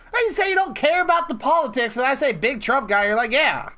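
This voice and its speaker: Man, thirties, animated intonation, bright voice, casual, teasing, probing interviewer. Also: very high-pitched, normal speed. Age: 30s. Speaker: prank caller and interviewer. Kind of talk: casual teasing phone chat.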